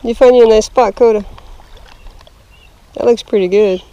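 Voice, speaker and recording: A woman's voice: on the high side, fairly even intonation, normal speed, dark tone, muffled recording. A young woman keeping up a casual running commentary while bank fishing, chatting to her dog and the fish, recorded outdoors on a head-mounted camera. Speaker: fishing vlogger. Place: rocky lakeshore outdoors. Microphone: head-mounted action camera.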